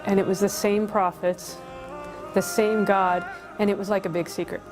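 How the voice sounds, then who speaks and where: Flat-toned American woman over music. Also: mid-range voice, moderate pace. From Colorado, United States. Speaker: interviewee telling a conversion story. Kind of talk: casual personal storytelling. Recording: music playing behind the voice.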